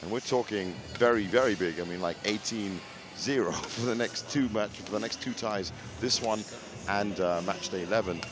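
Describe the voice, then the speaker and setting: A man giving live match commentary in an explanatory, measured way, his voice a little hoarse. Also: fairly low pitch, noisy background. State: slightly hoarse, recovering voice. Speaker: sports commentator.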